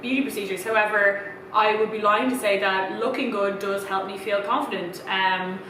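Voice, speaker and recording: A woman in her thirties co-hosting a podcast in casual chat, who often clears her throat as she talks. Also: medium pitch, steady tone, moderate pace, bright, forceful tone. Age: 30s. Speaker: podcast co-host. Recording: echoey room, mic at a distance, noisy.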